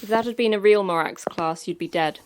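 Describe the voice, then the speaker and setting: A woman's voice: mid-range voice, brisk, close to an everyday voice. A woman playing a terse, hardened manager of a secret facility in an audio drama, blunt and curt. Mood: stern.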